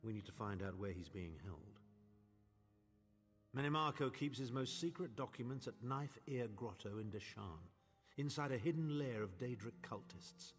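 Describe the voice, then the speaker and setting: A man's voice: medium pitch, lively intonation, moderate pace, husky. Pompous imperial nobleman, reverberant hall. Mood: grave, scheming. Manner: pompous, sardonic, erudite, disdainful. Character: haughty older male imperial nobleman and chancellor. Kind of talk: theatrical video game dialogue. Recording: long hall reverb, faint music behind.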